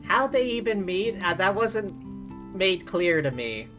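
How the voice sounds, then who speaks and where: A streamer reacts to a game's story in a casual, musing way. The voice is mid-pitched and informal. Faint music runs behind it. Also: very animated, unhurried.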